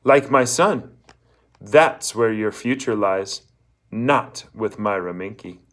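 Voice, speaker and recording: A man's voice: on the low side, slow pace. A man voicing a blunt old woman fortune-teller in a storybook, bossy and emphatic as she hands out scorn and instructions. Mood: scornful. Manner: emphatic, instructing, asks rhetorical questions.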